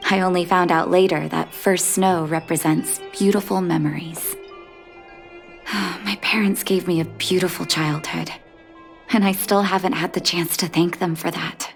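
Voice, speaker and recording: A woman playing a gentle, caring doctor in a video game, soft-spoken and measured, with a reflective, wistful manner. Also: lowish voice, close to an everyday voice. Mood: wistful, tender. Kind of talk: video game dialogue voice acting.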